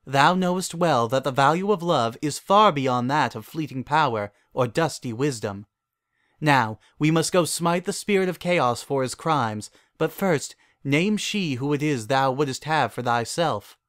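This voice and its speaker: A regal storybook goddess, read by a man, slightly raised in pitch and closely recorded. She is confident and stately, with grand, archaic phrasing. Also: lively intonation, a little slow, fairly bright tone, no room sound, clean studio sound. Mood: pleased, commanding.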